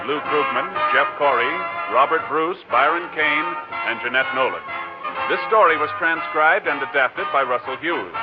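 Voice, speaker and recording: A man announcing in the formal, measured style of 1950s network radio, reading scripted lines. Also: mid-range voice. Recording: dull old broadcast, loud music.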